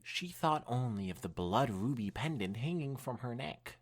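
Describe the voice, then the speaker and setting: A man's voice: medium pitch, animated, moderate pace. A man co-hosting a casual podcast, reading a story aloud and making dry, teasing remarks. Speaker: podcast co-host.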